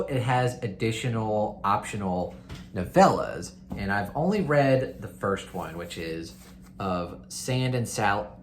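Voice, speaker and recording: Low-voiced man over background noise. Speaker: book reviewer hosting a readalong. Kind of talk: casual chat to viewers, recommending books.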